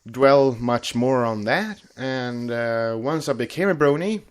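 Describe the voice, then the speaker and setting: A man chats as co-host of a casual fan podcast. His voice sits at a middle pitch. He rambles and halts, going back over his words as he goes. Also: smooth. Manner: halting, rambling, repeats words.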